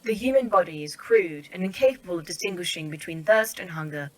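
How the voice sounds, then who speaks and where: A woman voicing a calm, precise female AI in a sci-fi horror audio drama, speaking in a clinical, matter-of-fact way. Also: on the low side, a little fast, low-quality recording. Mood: detached.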